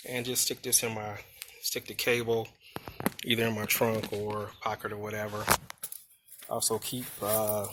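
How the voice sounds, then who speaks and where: A man's voice: fairly low pitch, noisy background. A man giving a casual, unscripted hands-on product walkthrough in a plain-spoken, explanatory way. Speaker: vlogger showing a motorcycle radio install.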